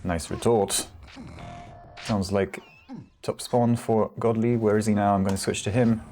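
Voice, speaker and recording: Low-voiced relaxed game caster man. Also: measured pace, soft background music. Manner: relaxed, chatty, self-correcting. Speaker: esports caster. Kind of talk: solo play-by-play commentary.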